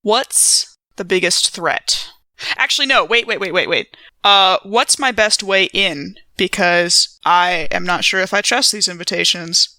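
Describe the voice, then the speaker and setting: A woman plays a tabletop game in casual, improvised talk. Her voice is bright and crisp-edged, on the low side for a woman, and recorded dry. She is playful and often thinks out loud. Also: a little slow, dry recording. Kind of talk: casual improvised role-play and table chat.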